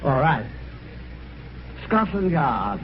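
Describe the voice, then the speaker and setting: An actor playing an eager, excitable Englishman telling his own strange adventure in an old radio mystery, with a British accent and genteel old-fashioned phrasing. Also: on the high side, extremely slow, very noisy, exaggerated voice. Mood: brisk recounting. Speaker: lead actor and first-person narrator. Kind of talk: golden age radio mystery acting. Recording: dull old radio recording, music behind.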